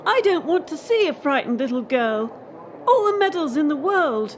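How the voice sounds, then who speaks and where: A woman's voice: high-pitched, sing-song, normal speed, a little hiss or hum. A woman acting in a radio play as a bitter, defiant young elite gymnast under pressure. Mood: solemn, resolute.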